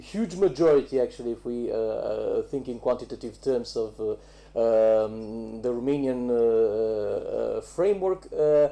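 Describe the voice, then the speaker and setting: Guy on an academic panel, really mellow dark voice, keeps hunting for words. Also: mid-range voice. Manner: halting, searching for words. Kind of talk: academic round-table discussion.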